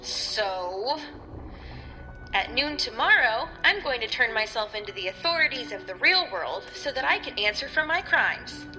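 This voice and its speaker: A woman's voice: a little high, animated, measured pace, bright, forceful tone, faint music behind, heavily acted, rough recording. A woman playing a breezy, sarcastic leader who feigns ditzy remorse in a comic audio drama. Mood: flippant, sarcastic. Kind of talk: audio drama comedy acting.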